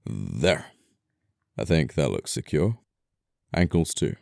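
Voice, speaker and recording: Casting: male actor for scoundrel murderer in ASMR roleplay, very deep and smooth, politely menacing, apologetic, flirtatious, quaint wording. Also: very animated, takes it slowly, dry recording, studio quality, heavily acted. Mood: calm, condescending. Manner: soft-spoken politeness, condescending, old-fashioned phrasing. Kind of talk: intimate ASMR audio roleplay acting.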